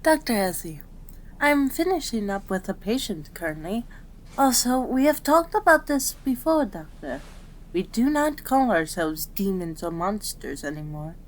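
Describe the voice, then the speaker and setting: Woman acting a prim, slow-talking fox-spirit doctor. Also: mid-range voice, sing-song, rough recording. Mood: prim, chiding. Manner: prim, chiding, easily flustered. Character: cute, flustered, caring female fox-spirit doctor. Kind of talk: ASMR roleplay acting.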